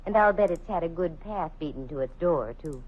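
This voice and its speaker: A woman's voice: low-pitched, lively intonation, a little mellow, slight background noise, poor audio. A wisecracking lady detective. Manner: quick wisecracking banter. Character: sharp-tongued female private detective, confident and wisecracking. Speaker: private detective. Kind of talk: 1940s radio acting.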